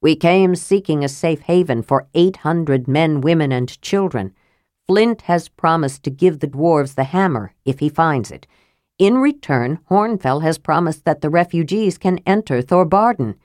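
Casting: weary, sensible half-elf man, low-voiced a woman narrator performing, storybook fantasy. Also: lively intonation, measured pace, a little mellow, no room sound, clean studio sound. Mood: firm reasoning. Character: cautious, level-headed male half-elf leader, practical and weary.

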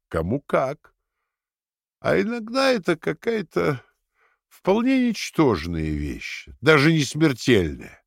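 An actor playing a cold, calmly sadistic interrogator in an audio drama, speaking in a deliberate, patronising and commanding way. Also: male voice, medium pitch, no room sound, clean studio sound, heavily acted.

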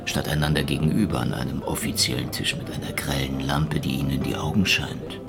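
An actor playing a cynical, tough Soviet secret police detective in a hardboiled radio crime drama. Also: male voice, a bass voice, little pitch movement, fairly quick, gravelly. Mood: dry flirtation. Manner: sardonic, terse, hardboiled. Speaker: actor, lead and narrator. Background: music.

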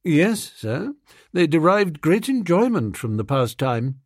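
A man's voice: fairly high pitch, no echo, studio quality, voice pushed up, heavily acted. Unflappable English valet, voiced by a man. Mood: dryly informative. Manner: formal, measured, deadpan. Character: discreet, unflappable male English valet, deferential and dry.

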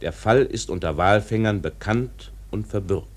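Casting: male actor for sober radio-drama chronicler, slightly deep, factual, measured delivery. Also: lightly acted. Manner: matter-of-fact, measured. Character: sober male chronicler reporting historical shipwrecks. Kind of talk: scripted factual narration in a radio play.

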